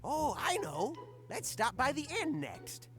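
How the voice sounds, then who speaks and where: Woman putting on a slow, folksy old man. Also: sits high, very animated, fairly bright tone, faint music behind, pitched above the performer's own voice, full character voice. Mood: genial. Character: folksy old male cook, genial reluctant mayor. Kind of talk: visual novel character voice.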